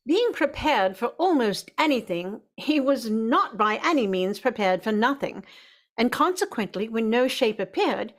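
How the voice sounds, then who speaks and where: A woman narrating a radio drama as a storyteller, expressive and deliberate with her words. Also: fairly high pitch.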